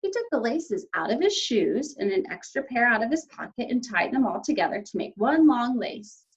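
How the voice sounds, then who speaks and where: A woman teacher reading a children's story aloud and explaining it to young pupils in an expressive way. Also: on the high side, normal speed. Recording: slightly dull, lo-fi sound.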